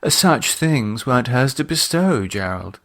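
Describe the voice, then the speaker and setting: A man's voice: mid-range voice, lively intonation, unhurried, a bit bright. A man voicing a poised, haughty aristocratic woman in an audiobook, a playful cult leader with an arch, refined manner. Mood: proud. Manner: arch, self-satisfied, refined. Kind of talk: audiobook character acting.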